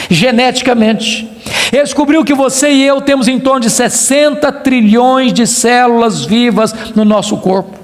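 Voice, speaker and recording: Male preacher, very high voice, Brazilian accent, emphatic oratorical sermon, declamatory repetition, long hall reverb. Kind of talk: rhetorical sermon delivered to a congregation.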